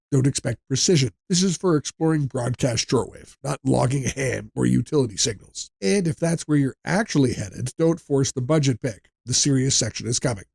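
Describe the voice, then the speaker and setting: A man's voice: medium pitch, creaky, darkish tone, breathy edge, dry recording. A man narrating a scripted product buyer's guide in a direct, plain-spoken, instructive way.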